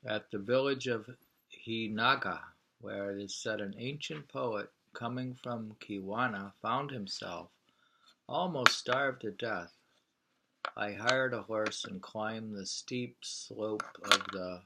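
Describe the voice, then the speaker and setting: Male reader, fairly low voice, very unhurried, reads from a book and muses aloud in a conversational way. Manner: unhurried, musing, pausing to hum and remark. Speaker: reader of a travel book and poems, commenting. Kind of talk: reading aloud with casual conversational asides.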